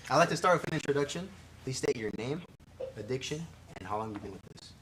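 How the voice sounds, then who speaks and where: A man plays a support group leader who talks in an earnest, careful way. His pitch is middling, and faint noise sits behind him. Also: lively intonation, fast talker, close to an everyday voice. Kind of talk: live improv sketch.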